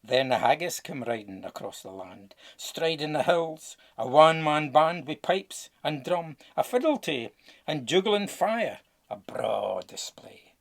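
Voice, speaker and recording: Slow, gravelly Scottish male storyteller. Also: fairly high pitch, very animated. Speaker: storybook narrator. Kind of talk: dramatic rhyming verse storytelling.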